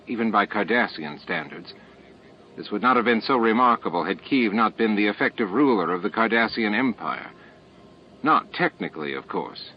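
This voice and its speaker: A man's voice: fairly low pitch, slight background noise. A man reading a novel aloud as a scripted audiobook narrator. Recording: dull lo-fi audiobook tape. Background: added music and sound effects.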